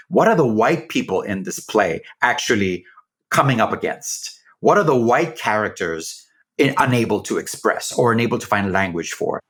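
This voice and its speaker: A man's voice: fairly low pitch, a bit bright. A man speaking as a theater director in an earnest discussion, framing his thoughts as rhetorical questions.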